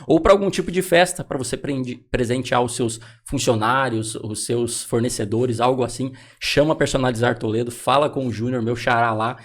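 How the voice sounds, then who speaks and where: A man's voice: mid-range voice, moderate pace, few pauses. A Brazilian man co-hosting a podcast, casually reading sponsor plugs and putting questions to a guest. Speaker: podcast co-host.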